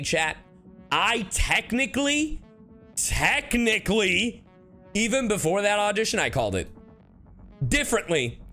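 A man's voice: a little high, sharp tone. A man streaming casually to his chat, slangy and emphatic, repeating himself to drive points home. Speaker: streamer and voice actor. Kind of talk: casual livestream reaction chat.

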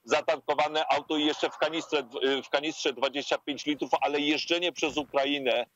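A man in his fifties giving a hesitant live field report outdoors on a residential street, speaking through an earphone headset with many fillers and pauses. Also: a little high, fairly even intonation, a bit bright, no room sound. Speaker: war correspondent. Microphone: earphone headset on a video link. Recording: lo-fi video call audio.

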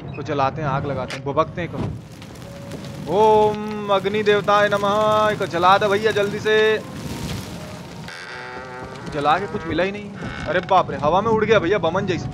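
Man putting on a high-pitched rustic Bihari uncle. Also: normal speed, close to an everyday voice. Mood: amazed, playful. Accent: eastern Hindi, Bihari. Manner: colloquial, exclaiming, crude slang. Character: Chacha, folksy rustic Indian uncle, excitable and jokey. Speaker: live game streamer. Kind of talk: live horror game commentary with chat replies.

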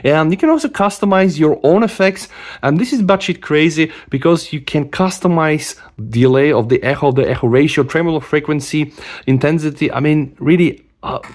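A middle-aged man giving a casual hands-on phone demo in an animated, exclamatory way. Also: fairly high pitch, normal speed. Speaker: tech reviewer. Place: small room at a desk, indoors.

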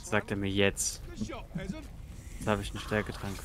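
A man's voice: on the low side, completely flat delivery, moderate pace. A German man playing co-op over a voice call, making dry, teasing remarks. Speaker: co-op teammate. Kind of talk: casual gameplay chat.

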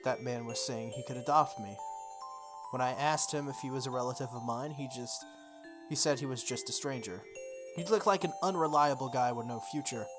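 A game playthrough host narrates a visual novel over clearly audible music. The voice sits in a middle register. The reading is story-like, broken up by playful, informal comments on the script. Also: mid-range voice, normal speed. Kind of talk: reading visual novel text aloud with casual asides.

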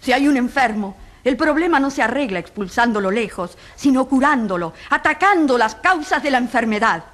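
A woman prosecutor in a radio courtroom drama. Her voice is high and she pleads with earnest passion, shaping each point emphatically. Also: expressive, moderate pace, hardly any pauses, fairly bright tone. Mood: impassioned.

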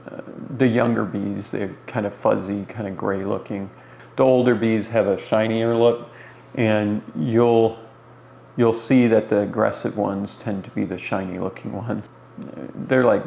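A man with a fairly low voice and plenty of creak explains things informally to beginners. He talks in loose phrases with pauses as he thinks. The small room adds clear echo, with a faint noise underneath. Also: a little mellow. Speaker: beekeeper answering beginners' questions. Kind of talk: informal explanatory talk to camera. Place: small bare room indoors.

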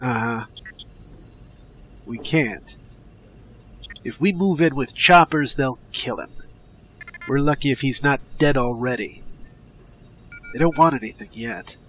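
An actor playing a gruff, blunt, profane police commissioner and ex-special-forces veteran in an audio drama. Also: male voice, medium pitch, takes it slowly, pauses often, fairly bright tone. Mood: tense, grim. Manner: barking orders, blunt, profane. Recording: clearly noisy audio drama track.

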